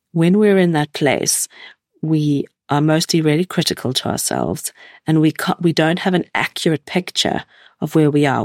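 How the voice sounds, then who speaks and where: A woman in her forties with a croaky voice from a cold, hosting a reflective solo podcast and musing gently with many hesitations. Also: low-pitched, no echo, clean studio sound. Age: 40s. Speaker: podcast host.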